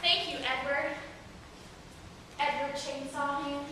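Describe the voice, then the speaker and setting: A dramatic young daughter with a sarcastic edge played by a woman in an amateur stage comedy. Her high voice reaches a distant mic through heavy echo and clear noise. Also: little pitch movement, takes it slowly. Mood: grateful. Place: indoor performance room, mic far off.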